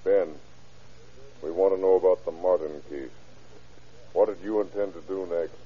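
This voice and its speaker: Very low, husky deputy, muffled recording. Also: male voice, fairly even intonation, normal speed. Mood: wry, businesslike. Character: wry, gruff deputy sheriff. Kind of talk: old-time radio crime drama acting. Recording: muffled old radio show recording.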